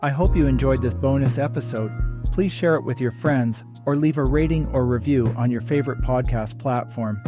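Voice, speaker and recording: A man delivers a scripted podcast outro as its host. His voice has a middle pitch for a man. Guitar music plays clearly behind him. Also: moderate pace. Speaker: podcast host reading closing credits.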